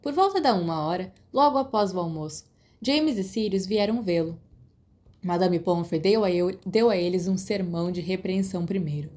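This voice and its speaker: A woman's voice: fairly low pitch, normal speed, a little mellow. A woman with a Brazilian accent reading scripted story narration as an audiobook narrator.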